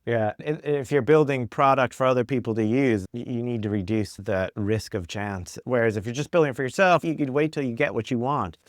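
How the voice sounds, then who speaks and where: Clear-voiced man in casual conversation. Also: medium pitch, brisk, hardly any pauses, no room sound, studio quality. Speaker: podcast guest, product executive.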